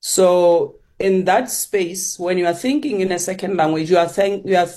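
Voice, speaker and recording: An older woman in her sixties, a Sesotho speaker and linguistics professor, answering slowly as a guest with many pauses and fillers. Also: fairly low pitch, darkish tone. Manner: slow, hesitant, many fillers. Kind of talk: radio interview, phone-in guest.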